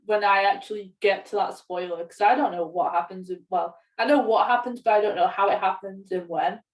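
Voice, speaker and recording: A young woman chats casually on a podcast. Her voice is mid-pitched and sounds distant from the microphone, with noticeable room echo. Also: steady tone, a little fast. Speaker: podcast co-host, media student. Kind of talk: casual film podcast chat. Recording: roomy echo, distant from mic.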